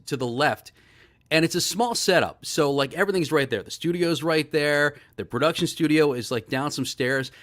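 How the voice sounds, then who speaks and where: A middle-aged man, a sports broadcaster guesting on a talk show, telling stories with animated enthusiasm. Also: on the high side. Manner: animated, excited storyteller. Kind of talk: casual interview storytelling.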